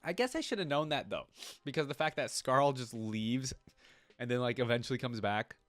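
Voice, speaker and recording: A man with a mid-pitched voice hosts a reaction video. He moves between reading a fantasy story aloud and casual, off-the-cuff commentary. The sound is dry, with very little room in it. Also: animated, normal speed, fairly bright tone, dry recording, soft background music.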